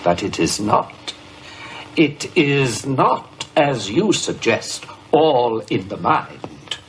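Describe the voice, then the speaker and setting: An actor playing a grave, pompous occultist who speaks with fervent, menacing emphasis in a radio drama. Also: male voice, mid-range voice, very animated, slight background noise. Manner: slow, portentous, emphatic. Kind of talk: radio drama acting, on-air debate.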